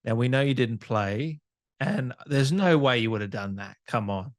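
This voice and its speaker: A man's voice: mid-range voice, lively intonation, moderate pace. An Australian man hosting a casual interview in an enthusiastic, informal and teasing way. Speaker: podcast host, interviewer. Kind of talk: casual chat.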